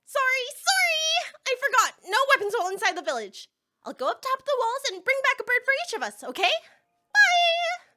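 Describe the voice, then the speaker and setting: A woman playing an excitable, boisterous young warrior in an animated fandub, dramatic and exuberant, given to loud outbursts. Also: very high voice, brisk, full character voice. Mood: bubbly, hurried.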